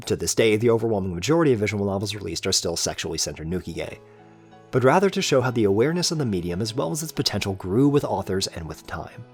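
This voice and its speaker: Low, expressive man narrating a video essay. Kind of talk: scripted analytical narration.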